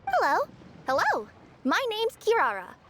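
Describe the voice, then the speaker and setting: A woman's voice: very high voice, wildly swooping pitch, a little slow, a bit bright, slight background noise, full character voice. A woman playing a friendly, chatty young courier girl, a shape-shifter, in a video game. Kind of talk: animated video game dialogue.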